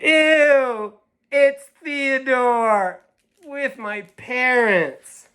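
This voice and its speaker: A woman's voice: sits high, extremely slow, fairly bright tone, clear, resonant tone, voice pushed up, full character voice. A man voicing a sulky, indignant little girl from a storybook, making pompous, emphatic declarations. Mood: disgusted.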